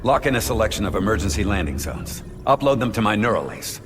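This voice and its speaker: Low, resolute starship captain. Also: male voice, sing-song, background noise. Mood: firm, resolute. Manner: measured, authoritative, clipped orders. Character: stern, resolute male starship captain, middle-aged. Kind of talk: cinematic military sci-fi game acting. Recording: long reverberant hall, clear music behind.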